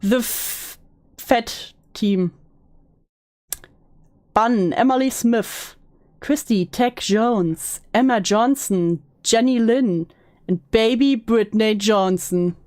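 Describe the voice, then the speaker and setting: A woman's voice: a little high, extremely slow, darkish tone. A woman doing sarcastic reaction commentary in a mocking, blunt, sweary manner. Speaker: commentary video host. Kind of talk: sarcastic reaction commentary, mixing read-aloud posts with asides.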